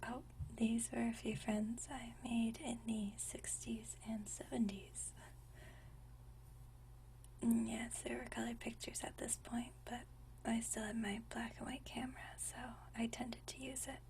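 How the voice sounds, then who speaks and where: A woman playing a gentle, nostalgic century-old vampire in a whispered ASMR roleplay, speaking softly and intimately with unhurried, genteel old-fashioned phrasing. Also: on the high side, flat delivery, recorded from a distance, a little hiss or hum, poor audio. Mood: quietly reminiscing. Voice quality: soft breathy whisper.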